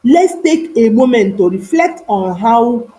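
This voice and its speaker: Lady telling a moral folktale, kinda high voice, really slow, solemn and dramatic. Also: animated, warm tone. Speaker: storyteller.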